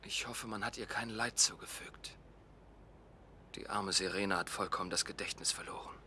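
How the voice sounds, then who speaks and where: A man playing a grieving, dutiful young knight in an audio drama, speaking in an earnest, measured, formal way. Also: fairly low pitch, fairly even intonation, bright, forceful tone, in a whisper. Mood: earnest, concerned. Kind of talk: German audio drama acting. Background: music.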